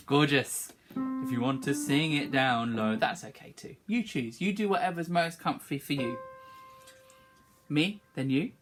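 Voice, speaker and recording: A woman's voice: deep voice, measured pace, music in the background. A woman teaching children a song, speaking encouragingly and singing short phrases for them to repeat. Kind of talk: online singing lesson, call and response.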